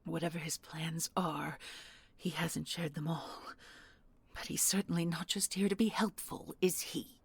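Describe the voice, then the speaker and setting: A woman performs a deposed spy commandant in a sci-fi audio drama, guarded and strung tight. The voice is very breathy and raspy with a creaky edge. The delivery is slowish, halting and defensive. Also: low-pitched, fairly even intonation, no room sound, exaggerated voice. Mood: guarded, bitter. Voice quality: husky, breathy, gravelly. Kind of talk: audio roleplay acting.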